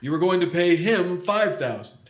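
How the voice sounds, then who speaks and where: A man's voice: high-pitched, lively intonation, moderate pace. A man acting in an old-time radio thriller as a wary, stubborn working-class plumber, plain-spoken, slangy and defiant. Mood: stubborn, sceptical. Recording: echoey, roomy voice.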